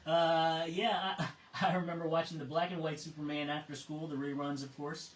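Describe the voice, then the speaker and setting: A middle-aged or older man acting as net control on a ham radio net, talking casually through check-ins and often halting to repeat words. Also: fairly high pitch, flat delivery. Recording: roomy, distant mic, faint noise.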